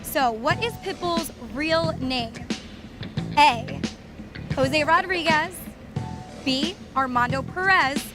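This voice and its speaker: A woman's voice: sits high, slow, pauses often. A woman co-hosting a TV quiz segment, asking questions out on a city street. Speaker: co-host, street quiz presenter. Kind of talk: game show street quizzing. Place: outdoors on a busy city street. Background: loud music.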